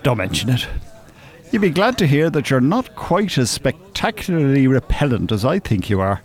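An actor playing a pedantic, drily mocking older gentleman in a radio drama, speaking formally and wordily. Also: mid-range voice, big pitch swings, normal speed, close to an everyday voice. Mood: wry. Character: wry, pedantic, well-spoken older gentleman, teasing.

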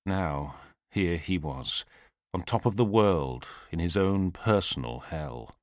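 Male audiobook narrator, low voice, expressive melodic range, solemn, suspenseful, measured phrasing, dramatic scripted reading. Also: no room sound, studio quality. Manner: measured, solemn, suspenseful delivery with weighted pauses.

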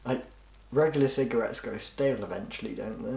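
A man's voice: mid-range voice, brisk, creaky, darkish tone, roomy sound, poor audio. A young man co-hosting a casual podcast chat, dropping dry joking asides. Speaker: podcast co-host.

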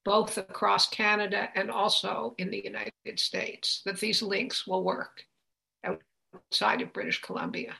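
A woman's voice: medium pitch, flat delivery, a little slow, vocal fry, sharp tone, poor audio. A woman speaking as a guest in a conversational interview about her own work. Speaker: interview guest, literary translator.

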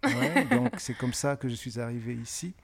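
A middle-aged man who grew up in francophone Africa, answering interview questions in a measured, thoughtful way with frequent pauses. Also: medium pitch, sing-song, vocal fry, crowd noise. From francophone West and Central Africa. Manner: measured, thoughtful, pausing often with hesitations. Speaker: guest author.

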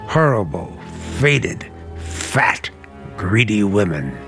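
A suave, menacing uncle in a radio drama, speaking very slowly with wide swings of pitch. He is charming on the surface and coldly contemptuous underneath, with music playing behind him. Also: sing-song, a bit bright, exaggerated voice. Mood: bitter contempt. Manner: suave, deliberate, turning to cold disdain. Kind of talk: dramatic radio play acting.

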